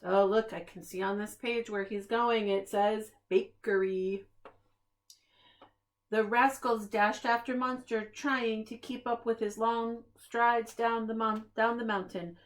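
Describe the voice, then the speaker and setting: Female storybook reader for young children, fairly high-pitched, unhurried, expressive and deliberate. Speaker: preschool staff reader. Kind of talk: read-aloud of a children's picture book.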